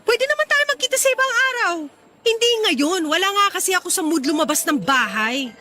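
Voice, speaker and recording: A woman's voice: extremely high pitch, lively intonation, fairly quick, bright, forceful tone, full character voice. A woman playing an irritable, fed-up young girlfriend in a radio drama, sulky, scolding and sarcastic. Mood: irritated, scolding.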